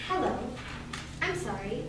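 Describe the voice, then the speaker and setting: A woman's voice: fairly high pitch, very animated, a little slow. A woman playing a poised, gracious lady with polite, formal speech in a radio drama. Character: Miriam, poised, gracious, beautiful former schoolmate woman. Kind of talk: stage radio drama acting. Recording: very echoey hall, distant mic, clear noise.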